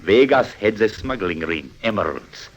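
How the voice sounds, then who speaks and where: An actor playing a stern, suspicious Italian police chief in an old radio drama, questioning formally. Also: male voice, lowish voice, expressive, normal speed, husky. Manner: formal, interrogating. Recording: dull old radio recording.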